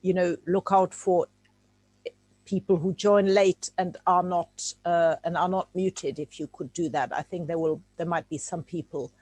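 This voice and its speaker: Slow, hesitant woman from Czechoslovakia. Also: on the low side, steady tone, a little mellow, no echo. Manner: hesitant, many fillers and restarts. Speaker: guest speaker. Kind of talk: informal lecture over video call. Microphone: video call.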